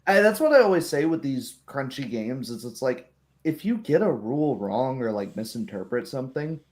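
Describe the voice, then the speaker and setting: Mid-pitched man, casual game master. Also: big pitch swings, normal speed, darkish tone. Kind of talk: casual group discussion.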